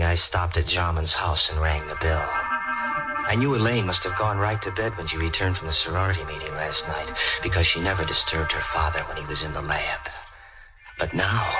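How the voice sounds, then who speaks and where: An actor playing a smug, uneasy immortal murderer in a 1940s radio thriller, with melodramatic, brooding delivery. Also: male voice, low-pitched, close to an everyday voice, poor audio. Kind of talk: 1940s radio acting, first-person thriller narration. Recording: old radio play with organ music.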